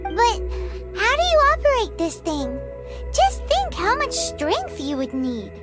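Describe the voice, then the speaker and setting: A woman voicing a small, cheeky, childlike fairy companion in a video game, squeaky and exclamatory. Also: wildly swooping pitch, a little slow, full character voice. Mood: excited, exclaiming. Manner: exclamatory, speaks of self in third person. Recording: music behind the voice.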